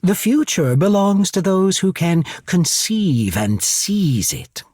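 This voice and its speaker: An actor playing a shrewd, courteous naval emissary in a fantasy video game, speaking in a measured, formal, persuasive way. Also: male voice, high voice, sing-song, a little mellow, husky, dry recording, studio quality, full character voice. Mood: firm, persuasive. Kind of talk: scripted fantasy game dialogue.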